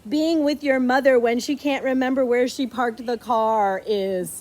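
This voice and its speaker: A middle-aged woman reading a picture book aloud to a child, playfully animated, with dramatic pauses and chatty asides. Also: on the high side. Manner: playful, dramatic pauses before key words.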